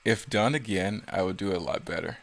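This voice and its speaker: A man's voice: deep voice, a little fast. A man giving casual, self-critical, rambling commentary on his own creative work.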